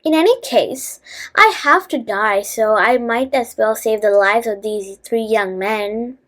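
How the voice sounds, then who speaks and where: Woman as a humble, high-voiced thief. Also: unhurried, fairly bright tone. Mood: resigned pondering. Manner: polite, earnest pleading. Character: humble, polite, self-sacrificing male thief.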